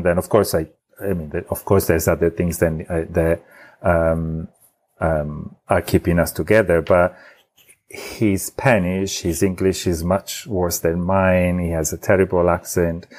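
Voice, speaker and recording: A man from Italy with an Italian accent, telling a personal story as a podcast guest in a halting way with many restarts. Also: low voice, darkish tone. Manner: halting, many restarts and fillers, laughs often. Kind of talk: casual storytelling.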